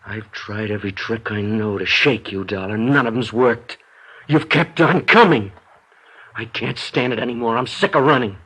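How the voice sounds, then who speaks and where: A man's voice: mid-range voice, moderate pace, bright, forceful tone, slightly rough, poor audio. A man playing a desperate, cornered fugitive embezzler in a 1950s radio drama, terse, grim and menacing.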